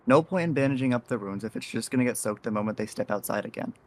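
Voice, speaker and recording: A soft-hearted, easygoing faceless character from an audio drama, played by an actor. The voice is mid-pitched and the manner is gentle, a little hesitant and reassuring. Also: fast talker, close to an everyday voice. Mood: protective.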